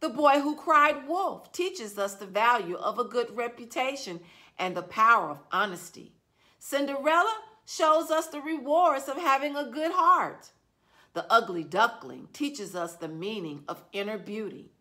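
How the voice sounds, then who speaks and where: A woman's voice: fairly high pitch, lively intonation, measured pace, sharp tone. A woman voicing a stern, judgmental sixth-grade teacher who lectures her class earnestly. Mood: earnest lecturing.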